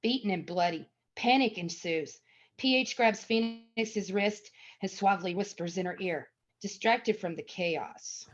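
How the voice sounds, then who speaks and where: A woman's voice: mid-range voice, measured pace. A woman narrator reading out screenplay stage directions as scripted narration. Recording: dull lo-fi audio.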